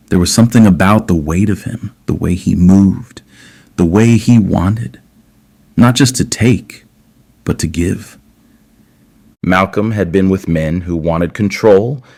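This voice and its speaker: A man with a deep voice narrates an intimate romance story. His delivery is slow and measured, with deliberate phrasing and dramatic pauses between short phrases. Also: vocal fry, a little mellow.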